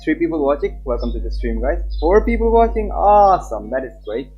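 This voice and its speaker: A man's voice: a little high, big pitch swings, a little fast. A young man chatting casually on a game livestream and reading story text aloud, loose and repetitive in his talk. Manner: chatty, loose, repeats himself. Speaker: livestreamer, host. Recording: dull lo-fi mic with background music. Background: game background music.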